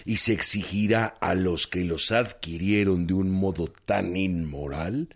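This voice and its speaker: An actor playing an earnest, plain-spoken rural revolutionary man with a Mexican accent and a husky voice in a radio drama. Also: low voice, a little slow, exaggerated voice. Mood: earnest, persuading.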